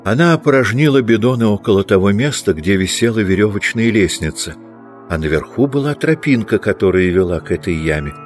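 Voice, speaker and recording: A man's voice: fairly low pitch, lively intonation, fairly quick, faint music behind. A man reading scripted literary narration as the narrator of an audiobook.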